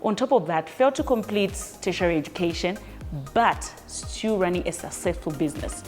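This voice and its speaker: A woman's voice: on the low side, lively intonation, brisk, a little mellow, soft background music. A woman hosting a conversational interview, upbeat and asking encouraging questions. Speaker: interview host.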